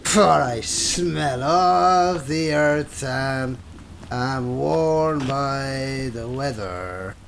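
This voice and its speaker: An actor playing an old, drunken, boastful Irish farmer in a radio play, with a grizzled voice and theatrical manner. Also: male voice, medium pitch, extremely slow, gravelly, a little hiss or hum. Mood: drunken singing.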